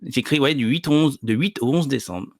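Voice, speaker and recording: A man's voice: mid-range voice, fairly quick, vocal fry. A man chatting casually as a podcast panelist. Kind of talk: casual chat.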